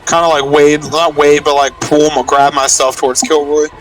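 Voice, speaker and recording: A man's voice: fairly high pitch, level tone, moderate pace. A man talking casually as a player in a tabletop game. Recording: distant mic, faint music behind. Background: faint music.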